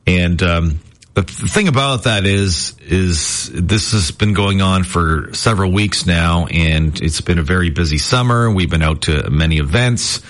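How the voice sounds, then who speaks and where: A man hosting a morning radio show in casual chat, his voice worn deeper than usual from overuse, rambling and self-deprecating. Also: unhurried, fairly bright tone.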